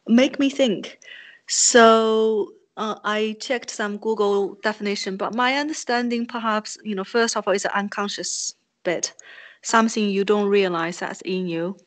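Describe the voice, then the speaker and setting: A woman from China, a native Chinese speaker, answers interview questions slowly and thoughtfully. She hesitates often, filling pauses with ums as she searches for words, and her pitch sits in the middle range. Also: a bit bright. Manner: hesitant, reflective, many ums. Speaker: guest artist. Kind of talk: interview conversation.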